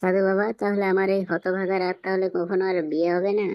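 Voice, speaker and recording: A woman's voice: medium pitch, level tone, fast, no echo, close to an everyday voice. A woman voicing a hapless, pleading young male swindler in a Bengali comedy cartoon. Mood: pleading, nervous. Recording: dull lo-fi, very dry cartoon dub.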